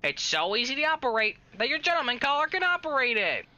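An actress playing a peppy 1940s saleswoman, pitching emphatically in a vintage radio advertisement. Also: very animated, fast talker, bright, forceful tone, no echo, full character voice. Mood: peppy, salesy.

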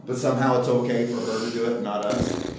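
A man's voice: mid-range voice, flat delivery, fast. A man filming in a government office, chatting in a mocking, slangy way with his fellow auditor. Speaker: camera-holding auditor. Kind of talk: casual banter while filming. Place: large government service office waiting area. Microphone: handheld camera. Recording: echoey room, far off, with background noise.

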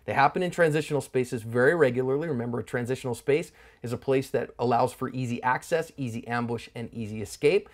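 A man's voice: medium pitch, fast talker. A middle-aged American man hosting an instructional self-defense commentary, speaking in an emphatic, teacherly way with folksy phrasing. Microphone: lapel mic.

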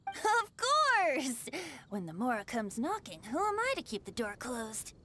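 A woman's voice: high voice, wildly swooping pitch, a little slow, pushed and bright, slight background noise, heavily acted. A woman voicing a breezy teenage boy bard in an anime game, with a lilting, teasing delivery. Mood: playful. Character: playful, breezy boyish teenage male bard. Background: music.

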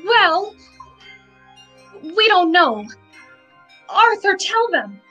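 A woman's voice: extremely high pitch, very animated, very slow, pushed and bright, muffled recording, heavily acted. A young woman playing a fearful, naive, clinging bride in melodramatic old-time radio mystery style, plaintively. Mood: worried. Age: young adult. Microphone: video call. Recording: faint music behind.

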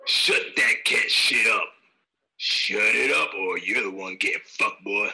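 Man acting out a gravelly, brutal outlaw father. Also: fairly low pitch, measured pace, sharp tone, exaggerated voice, low-quality recording. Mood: angry contempt. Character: brutal, sadistic, foul-mouthed Western outlaw father. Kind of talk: radio drama acting. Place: home, on a group video call.

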